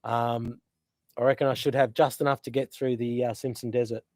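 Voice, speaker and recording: Rambling Australian bloke on a live stream. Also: male voice, mid-range voice, flat delivery, brisk, darkish tone, no room sound. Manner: rambling, many fillers, teasing. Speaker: host. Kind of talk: casual live-stream chat. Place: outdoors by a river.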